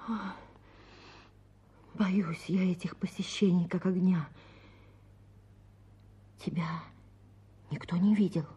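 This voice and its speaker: An actress playing a frightened, devoted peasant wife in a radio drama, speaking in an anxious hush. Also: female voice, on the low side, fairly even intonation, takes it slowly, long pauses, heavily acted. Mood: fearful whisper. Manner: hushed, anxious, breathy whispering.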